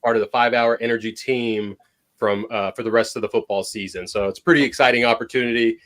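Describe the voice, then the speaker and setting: A man's voice: mid-range voice, fairly even intonation, fast, fairly bright tone. Laid-back young man, sports podcast co-host. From half Mexican, half Persian heritage. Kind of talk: casual sports chat.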